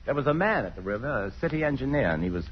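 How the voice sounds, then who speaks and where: An actor plays a breezy, wry leading man with restless enthusiasm in an old radio drama. His pitch is mid-range. The sound is muffled and slightly noisy. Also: big pitch swings, rapid-fire, close to an everyday voice. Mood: wry, enthusiastic. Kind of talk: 1950s radio drama acting.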